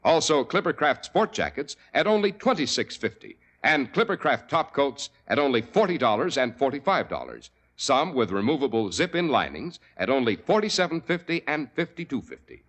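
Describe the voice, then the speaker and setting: Bright, animated old-time radio announcer man. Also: mid-range voice, normal speed, few pauses. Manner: crisp, salesmanlike. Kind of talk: 1940s radio announcing and sponsor pitch.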